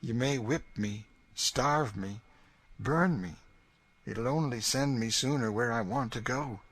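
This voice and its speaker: A quiet, devout man of firm faith, as a male reader voices him, calm and earnest even under threat. The reader lifts his pitch a little for the part, speaking steadily and unhurriedly. The tone is bright and clear. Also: slow pace, no room sound.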